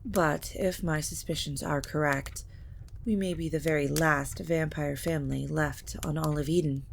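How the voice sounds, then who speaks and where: An ancient vampire matriarch, played by a woman in an audio drama, with a fairly low voice. She speaks formally and gravely, measuring each phrase like a scholarly schemer. There is clear noise behind her. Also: steady tone, normal speed. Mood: grave, deliberate. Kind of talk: scripted audio drama acting.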